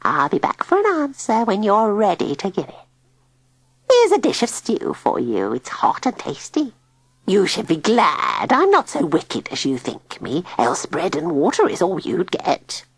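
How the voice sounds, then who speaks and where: A woman reader voicing an old hag witch who coaxes her captive softly and slyly. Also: mid-range voice, wildly swooping pitch, a little slow, husky, no echo, voice pushed up, full character voice. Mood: sly coaxing. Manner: soft, coaxing, whispery.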